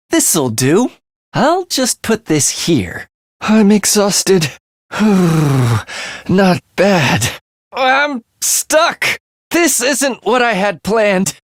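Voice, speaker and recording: An actor playing a morbid young man in a video game, a soft-spoken killer who is languid, menacing and gleefully excited by death. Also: sits high, very slow, dry recording, clean studio sound, full character voice. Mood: satisfied, languid. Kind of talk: theatrical video game voice acting.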